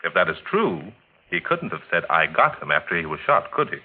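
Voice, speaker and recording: An actor playing a shrewd gentleman detective, politely formal and deliberately probing, in 1940s radio style. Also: low-pitched, very animated. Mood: coolly probing. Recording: scratchy 1940s radio, narrow tinny band.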